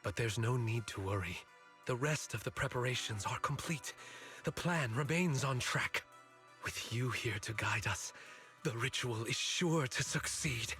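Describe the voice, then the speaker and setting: Bright-voiced, deferential cultist. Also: male voice, mid-range voice, a little slow, soft background music. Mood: reverent, assured. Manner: formal, deferential reporting. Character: devoted male cult follower, reverent and confident. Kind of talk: dramatic video game voice acting.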